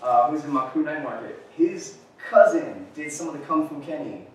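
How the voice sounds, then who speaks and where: A man's voice: on the high side, animated, moderate pace, slight background noise. A man in his thirties giving a casual presentation at a talk event, speaking conversationally and leaning on "right?" and filler words. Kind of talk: lecture presentation. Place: gallery-like room with a screen. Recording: echoey room recorded from far off.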